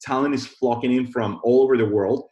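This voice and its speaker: A man from Colombia, a guest on a podcast, speaking in a measured way with many restarts. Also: mid-range voice, fairly even intonation, mellow, muffled recording. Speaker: guest, tech founder. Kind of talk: podcast interview.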